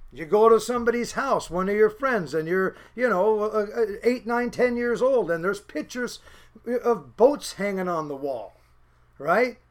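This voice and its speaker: Rambling high-voiced middle-aged man. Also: a bit bright. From Seattle area, USA. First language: Norwegian. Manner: rambling, many restarts and fillers. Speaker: fisherman's son recalling childhood. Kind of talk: casual reminiscing.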